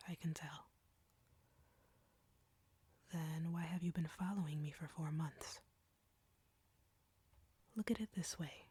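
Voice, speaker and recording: Slow, breathy, whispering vampire seductress played by a woman. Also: sits low, warm tone, exaggerated voice. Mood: coolly insistent. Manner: slow, taunting, condescending. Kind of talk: intimate whispered roleplay audio drama.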